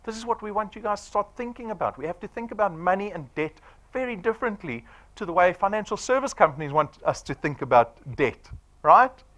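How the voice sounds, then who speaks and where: A man's voice: high voice, animated, fairly quick, a bit bright. Man, South African accent, forties, punchy presenter, rhetorical questions, swooping voice. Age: 40s. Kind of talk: sales presentation to an audience.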